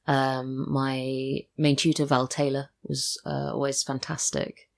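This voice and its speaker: British woman with a creaky voice. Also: a bass voice, flat delivery, takes it slowly. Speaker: podcast guest, audio drama writer and voice actor. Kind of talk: casual interview chat. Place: studio.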